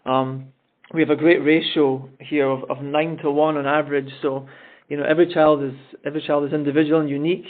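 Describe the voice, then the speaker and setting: A man's voice: a little high, flat delivery, a little fast. A middle-aged man with a Scottish accent, a school principal answering on a call-in town hall, friendly and rambling with many restarts. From Scotland. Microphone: telephone. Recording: tinny phone line.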